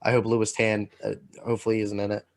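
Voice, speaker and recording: A man co-hosting a podcast in casual chat, talking in a halting, rambling way full of filler words. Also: on the low side, completely flat delivery, gravelly. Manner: halting, rambling delivery peppered with "like". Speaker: podcast co-host.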